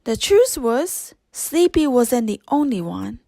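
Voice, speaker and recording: A woman narrating a scripted children's story with gentle, careful phrasing. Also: on the high side, sing-song, slow pace, gravelly, dry recording, clean studio sound. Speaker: audiobook narrator.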